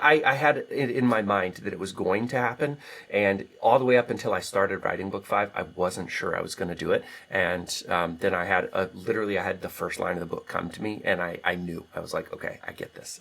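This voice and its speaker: A man's voice: low voice, expressive, a little fast, creaky, hardly any pauses, fairly bright tone, husky. An American man, an author, answering interview questions in an earnest, candid, conversational way. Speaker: author, podcast guest.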